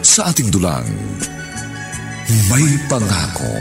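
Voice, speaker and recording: A man's voice: low-pitched, wildly swooping pitch, takes it slowly. A man announcing a radio drama in a scripted, theatrical style. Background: music.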